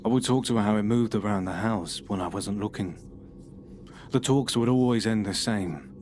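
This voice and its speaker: An actor playing a troubled man, giving a halting, brooding confession under questioning. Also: on the low side, darkish tone, a little hiss or hum, lightly acted. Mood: uneasy. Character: troubled middle-aged man, paranoid and bitter. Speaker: suspect being questioned.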